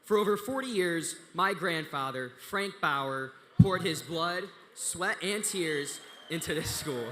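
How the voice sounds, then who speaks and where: Teenage boy, student speaker, bright voice, earnest scripted reading, podium mic, echoing hall. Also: on the high side, animated, slow, hardly any pauses, a little hiss or hum. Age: teens. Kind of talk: prepared speech. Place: large banquet hall. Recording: reverberant hall.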